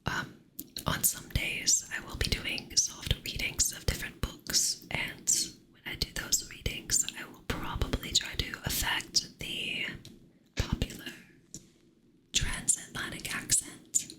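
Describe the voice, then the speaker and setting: Slow whispering woman on a stream. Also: poor audio. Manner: soft whisper, playful with chat; slow, drawn-out delivery. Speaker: ASMR streamer. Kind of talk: whispered ASMR live-stream chat.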